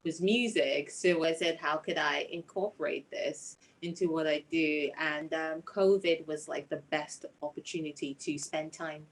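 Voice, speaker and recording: A woman's voice: low voice, fairly even intonation, a little slow, few pauses, low-quality recording. A woman with a Nigerian accent, a singer-songwriter telling her personal story in an interview.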